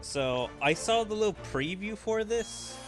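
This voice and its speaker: A man's voice: sits high, expressive, unhurried. Casual male gamer over game music. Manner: musing, thinking aloud. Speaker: gaming video creator. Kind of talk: casual let's-play commentary.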